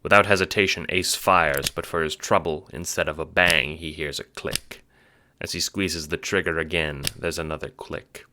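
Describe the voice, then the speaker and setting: Low voiced guy reading dramatic story narration, crisp forceful tone, audiobook style. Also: steady tone, normal speed.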